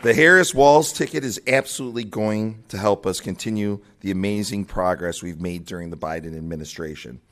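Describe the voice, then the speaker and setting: A man's voice: fairly low pitch, fairly quick, few pauses, a bit bright. Rousing man giving a labor rally speech. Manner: rousing, pushes up his voice on key words. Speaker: labor leader speaking at a party breakfast.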